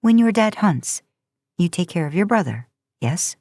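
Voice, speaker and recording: A woman voicing a kind, firm woman who gently instructs in a story reading. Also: on the low side, sing-song, unhurried, no echo, exaggerated voice. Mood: quiet, kind. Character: kind, firm Spanish-speaking older woman helping in kitchen.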